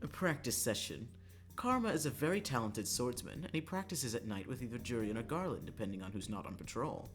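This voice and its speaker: An exasperated witch guardian, read by a man, scolding and grudgingly teasing. The read-aloud is expressive, in visual novel style, over faint music. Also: medium pitch, brisk, close to an everyday voice. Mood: grudging, explaining.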